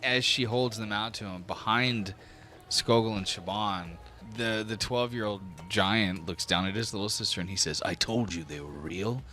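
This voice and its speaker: A man's voice: on the low side, moderate pace, sharp tone, soft background music. A man narrating a tabletop fantasy game as game master, in a casual manner. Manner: casual, swearing.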